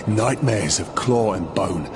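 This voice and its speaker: A man's voice: on the low side, slow, noisy background. A man playing a proud, bitter undead warrior in a video game, grave and brooding in his delivery. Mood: grave, grim. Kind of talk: video game cutscene acting. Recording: loud music behind.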